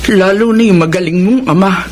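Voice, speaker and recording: A woman's voice: mid-range voice, a little fast, darkish tone, natural voice. A woman playing a betrayed, long-suffering wife and mother in an emotional Filipino radio drama. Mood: tender, weary. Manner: emotional, pleading. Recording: noisy radio drama with background ambience.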